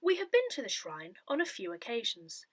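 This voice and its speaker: A woman reading a scripted newsletter aloud in a measured, clearly phrased way. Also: fairly high pitch, huge pitch swings, no room sound. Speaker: reader of an audio newsletter.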